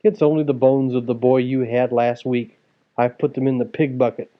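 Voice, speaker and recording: A man voicing a storybook ogre's wife, bossy and curious, with a sharp, scolding manner. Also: medium pitch, level tone, moderate pace, lo-fi sound, close to an everyday voice. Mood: dismissive.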